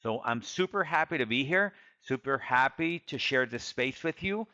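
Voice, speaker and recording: A man in his fifties from Costa Rica, speaking with a bright, forward tone on a podcast. He sounds earnest and motivational and often repeats phrases for emphasis. The recording is dry and close, with little room sound. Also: medium pitch, lively intonation, normal speed, no echo, dull audio. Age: 50s. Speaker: podcast co-host. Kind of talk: casual conversational podcast.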